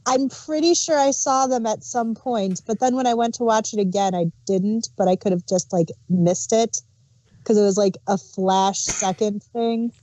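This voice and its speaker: A woman's voice: medium pitch, normal speed, warm tone, dry recording, poor audio. A woman co-hosting an audio drama review podcast, chatting casually and thinking aloud with fillers. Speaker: podcast co-host. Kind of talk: casual chat.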